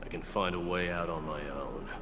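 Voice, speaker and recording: A man's voice: sits low, fairly even intonation, in a large hall, background noise, close to an everyday voice. A man playing a grave, courteous warrior lord in a video game, speaking in a formal, commanding and protective way. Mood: resolute. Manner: measured, formal, authoritative.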